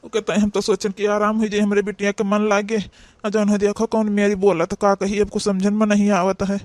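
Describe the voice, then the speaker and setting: A man's voice: high voice, completely flat delivery, brisk, no echo, natural voice. A man with an Awadhi accent playing a worried, bewildered cartoon father, speaking in a plaintive, fretful way. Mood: distressed. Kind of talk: amateur comedy cartoon dialogue.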